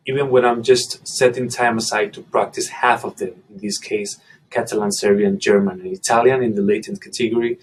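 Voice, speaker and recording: A man whose first language is Spanish, calmly explaining a language-learning method in a video lesson. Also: lowish voice, a little hiss or hum, rough recording. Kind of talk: instructional monologue to camera.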